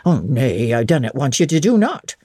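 A woman's voice: very deep voice, big pitch swings, moderate pace, mellow, husky, no echo, studio quality, voice pushed down, heavily acted. A woman voicing a plain-spoken, homely old servant woman with a Northern English dialect, in a dramatic audiobook reading. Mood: blunt, homely.

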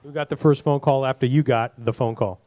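A man's voice: medium pitch, fairly even intonation, brisk, mellow. A man hosting a panel, asking players questions in a chatty, jokey way. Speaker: panel host.

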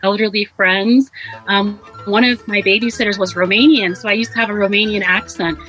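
A young woman guest speaks in a relaxed, chatty way, dotted with fillers and easy laughter. Her voice is bright and forward. Faint music sits low in the background. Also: fairly quick, rough recording. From Nigeria, raised in Ohio. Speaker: guest, social justice advocate. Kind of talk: casual interview.

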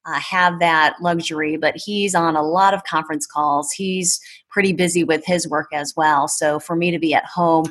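A woman in her thirties talking casually as a podcast guest in a measured, thoughtful way. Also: low voice, steady tone, fairly bright tone. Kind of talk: casual conversation.

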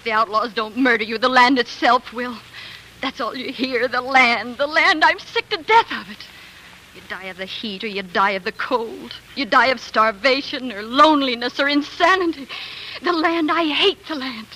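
An actress playing a tender, weary young pioneer wife in earnest, emotional 1940s radio drama style. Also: female voice, sits high, normal speed, sharp tone, slight background noise, poor audio. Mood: anguished. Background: music.

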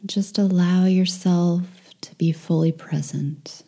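A woman leading a guided meditation in a soft, breathy, near-whispered voice, gentle and soothing with long pauses. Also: fairly low pitch, fairly even intonation.

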